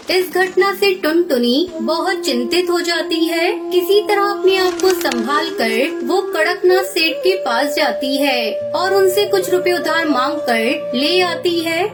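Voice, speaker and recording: A woman narrating a scripted children's story. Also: extremely high pitch. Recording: loud music under the voice.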